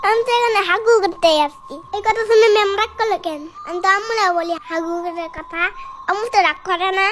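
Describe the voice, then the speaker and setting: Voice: very high-pitched, fast, background noise. A performer voicing a small, blunt, naive schoolchild in a children's cartoon, with music under the voice. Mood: naive, curious.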